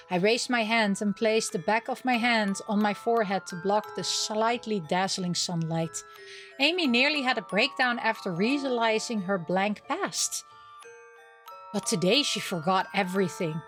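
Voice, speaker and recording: A woman with a fairly high voice hosts a visual novel playthrough. She reads the story text aloud and adds casual remarks. Soft music plays behind her. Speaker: gaming channel host playing a visual novel. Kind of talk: reading game text aloud with casual commentary.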